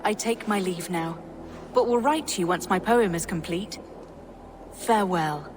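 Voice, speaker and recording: A woman playing a pious, bookish English abbess in a historical video game, speaking formally and with measured courtesy. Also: fairly low pitch, a little mellow, slight background noise, lightly acted. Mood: thoughtful. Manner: formal, measured, archaic phrasing.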